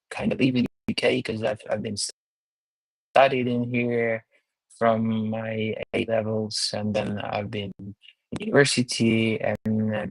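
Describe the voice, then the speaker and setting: A Ukrainian man in his thirties, a guest in a remote interview, speaking haltingly with many fillers and restarts. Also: lowish voice, vocal fry, no echo, rough recording.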